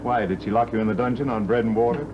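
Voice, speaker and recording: An actor with an American accent playing a wry, wisecracking newlywed mystery writer in a 1950s radio drama. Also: male voice, medium pitch, fairly even intonation, fast talker, natural voice. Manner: wisecracking and dry. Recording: dull hissy old radio recording.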